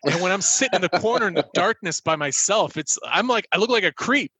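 A man's voice: sits high, lively intonation, fast talker, sharp tone, slightly rough, no room sound, rough recording. A man co-hosting a podcast, chatting casually in a rambling, self-deprecating way. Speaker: podcast co-host. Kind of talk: casual chat.

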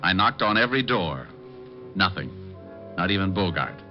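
A man playing a suave, wisecracking private detective in an old radio drama, glib, sardonic and quick-witted. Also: low-pitched, animated. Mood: wry narration. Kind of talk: 1950s radio detective drama acting, narration and dialogue. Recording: dull old radio recording, music behind.

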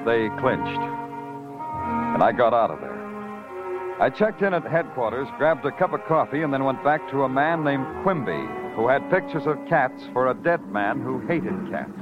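A man's voice: medium pitch, very animated, normal speed, natural voice. A man playing a terse hard-boiled radio detective. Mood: brooding narration. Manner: clipped, terse, moody. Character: hard-boiled, world-weary night-shift New York police detective. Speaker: lead actor and narrator. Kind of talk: 1950s radio crime drama acting and narration. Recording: dull vintage radio recording with music.

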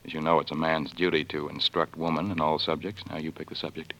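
An actor playing a suave upper-class young American suitor, romantic and gently teasing, in 1940s radio drama style. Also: male voice, extremely low pitch, level tone, fast talker. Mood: tender, romantic. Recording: muffled vintage radio recording with music.

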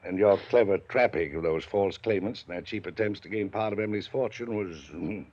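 An actor playing a pompous, domineering wealthy older uncle in a 1950s radio drama. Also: male voice, lowish voice, brisk, husky. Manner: pompous, clipped, rises to angry lecturing. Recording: dull lo-fi 1950s radio broadcast.

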